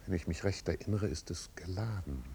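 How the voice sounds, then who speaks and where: Stiff, low-voiced butler. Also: male voice, brisk, gravelly, warm tone. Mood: discreetly alarmed. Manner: stilted, ceremonious, dry. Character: stiff, formal, disapproving male butler. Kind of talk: 1950s German radio drama acting. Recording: old radio recording, rumbling background noise.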